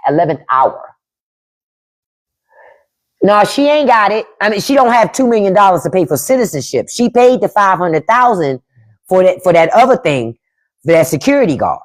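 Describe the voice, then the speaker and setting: Blunt, profane woman with a lively voice. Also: mid-range voice, normal speed, long pauses. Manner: blunt, profane, emphatic, reads and answers chat. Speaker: podcast host on a live stream. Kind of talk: casual livestream commentary.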